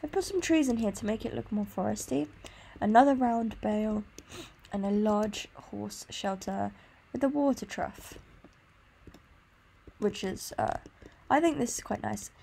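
A woman's voice: medium pitch, a little slow, vocal fry, long pauses, warm tone, a little hiss or hum. A tired woman with a congested sore throat gives an informal, meandering tour commentary on a video game. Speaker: gaming video host. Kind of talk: casual gameplay tour commentary.

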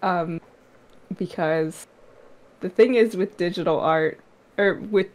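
Slightly hoarse woman on a video call. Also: on the low side, slow, rough recording. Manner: rambling, many fillers, laughs softly. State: slightly hoarse, losing her voice. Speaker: guest comic artist. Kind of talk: casual interview answers on a video call.

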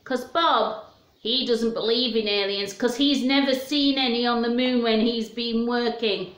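A woman's voice: on the high side, steady tone, a little slow, fairly bright tone. A woman, presenting storytime and reading a picture book aloud to children in simple, questioning, child-directed talk. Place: small room at home, indoors. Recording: roomy, echoey home recording.

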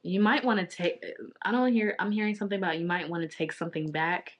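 Slightly raspy woman reading tarot. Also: fairly low pitch, fairly even intonation, slight background noise. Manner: intuitive, repetitive, drawn-out card naming. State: slightly raspy from long talking. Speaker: tarot reader. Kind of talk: casual tarot reading to camera. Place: small home office with desk.

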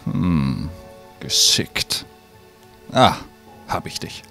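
A man's voice: low-pitched, big pitch swings, extremely slow, creaky, sharp tone, faint music behind, heavily acted. An actor playing a stern, greedy old druid, curt and commanding, absorbed in his magic. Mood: pondering.

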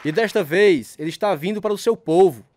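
A man's voice: high-pitched, brisk, background noise, close to an everyday voice. An actor playing a fervent pastor who preaches and appeals to his listener in an audio drama. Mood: urgent appeal. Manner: fervent, exhortative. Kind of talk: evangelistic sermon in audio drama.

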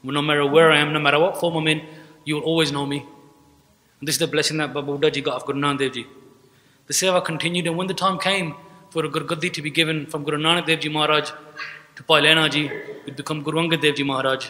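A man's voice: fairly high pitch, fairly even intonation, fast, sharp tone. A young man giving a religious talk at a podium mic, telling a story earnestly and acting out the dialogue. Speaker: speaker at a gurdwara event. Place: large hall, indoors. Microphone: podium mic on a stand. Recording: long hall reverb.

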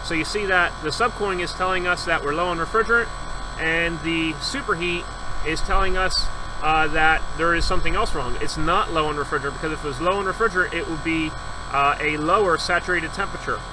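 A man with a fairly high-pitched voice walks through an air-conditioning diagnosis, explaining each reading methodically and step by step. He is recorded outdoors beside a running condenser on a close camera mic. A clear equipment hum and traffic noise sit behind him. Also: a little fast. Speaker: HVAC service technician. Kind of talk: hands-on troubleshooting tutorial.

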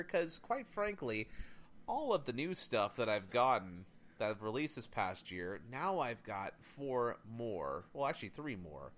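A man co-hosting a casual gaming podcast, rambling excitedly and thinking out loud as he adds up prices. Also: medium pitch. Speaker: podcast co-host.

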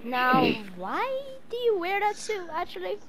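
A woman's voice: very high-pitched, sing-song, unhurried, a crowd in the background. A woman improvising role-play in a game voice chat, with a blunt, teasing way of talking. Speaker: player in a role-play gaming session. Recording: noisy home headset game chat.

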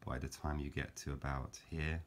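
A man calmly explaining a paper craft step by step, in a gentle, measured way with frequent fillers. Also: extremely low pitch, slight background noise. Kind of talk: calm step-by-step craft tutorial.

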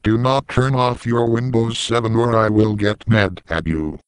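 A synthetic male voice playing a menacing, taunting computer error villain in a homemade comedy skit, with deadpan robotic delivery. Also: medium pitch, a little slow, clean tone, no echo, natural voice.

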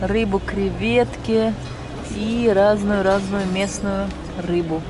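A woman vlog host narrating a relaxed walking tour to her viewers, outdoors at night on a handheld camera. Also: medium pitch, slow pace. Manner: relaxed guide-like commentary, speaking to viewers as friends. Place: outdoors on a city street at night. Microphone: handheld action camera. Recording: street noise behind.

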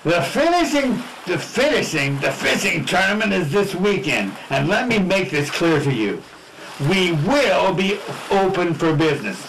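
A man playing a stubborn small-town mayor in a spoof radio drama, pompous and emphatic, with a creaky voice. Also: fairly high pitch, fairly bright tone, slight background noise, full character voice, rough recording. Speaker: amateur radio drama actor. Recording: music bed under the voice.

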